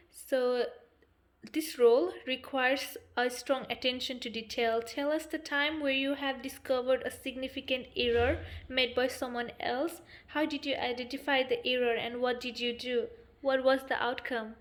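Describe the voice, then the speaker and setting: A woman leads a mock job interview, posing formal questions in a polite, measured way. Her voice sits high. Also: fairly even intonation.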